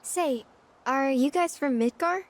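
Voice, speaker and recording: Earnest high-voiced little girl. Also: takes it slowly. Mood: earnest, troubled. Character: earnest, sweet little ranch girl, Billy's younger sister. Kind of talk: video game cutscene acting.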